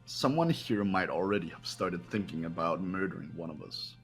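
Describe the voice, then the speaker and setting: A man's voice: sits low, animated, normal speed, a bit bright, faint music behind. A man voicing a cold, arrogant young man who talks down to everyone. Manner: haughty, dismissive.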